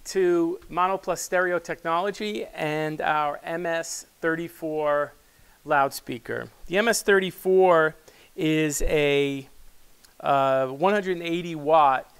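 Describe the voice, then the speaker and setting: Older man, smooth clear voice, slightly high, halting product demo delivery, pauses mid-phrase. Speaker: loudspeaker company presenter and product developer. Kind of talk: unscripted product presentation. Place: small wood-panelled studio, indoors. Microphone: lapel mic.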